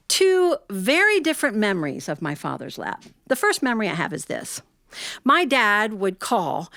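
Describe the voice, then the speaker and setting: A middle-aged or older American woman telling a personal family story in a reflective, folksy way, with expressive phrasing and conversational asides. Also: mid-range voice, no echo, clean studio sound.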